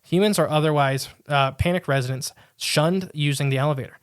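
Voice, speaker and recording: A man's voice: fairly high pitch, a little fast, smooth. A man co-hosting a podcast, reading a strange tale aloud with casual asides, often tripping over words and restarting. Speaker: podcast co-host.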